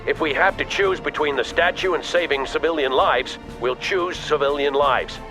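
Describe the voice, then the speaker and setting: An actor playing a stern, resolute army colonel in a military video game, speaking in clipped, authoritative orders. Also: male voice, a little high, normal speed, pushed and bright, soft background music, low-quality recording. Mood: grave, resolute. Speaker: military commander.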